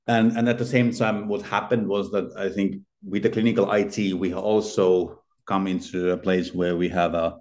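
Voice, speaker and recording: A man's voice: sits low, steady tone, moderate pace, hardly any pauses, a little mellow. A middle-aged man from Finland, speaking as a podcast guest in a hesitant way, with many fillers and restarts. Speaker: podcast guest, healthcare consultant. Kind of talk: casual interview talk.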